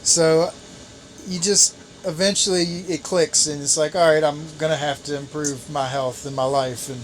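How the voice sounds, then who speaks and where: A man in his late thirties talks in a loose, rambling way, restarting his sentences as he goes. His voice sits a little on the high side. Faint outdoor noise from a boat on the water runs behind him. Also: moderate pace, poor audio. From Chesapeake Bay area, US. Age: 30s. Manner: relaxed, rambling, with restarts and laughs. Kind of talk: casual chat.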